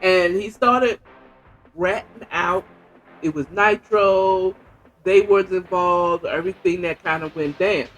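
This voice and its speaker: A woman's voice: on the low side, takes it slowly, soft background music. A woman with an American accent giving casual book commentary in a conversational way, asking rhetorical questions as she retells the story. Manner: conversational, acts out dialogue, asks rhetorical questions. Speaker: podcast host reviewing a book.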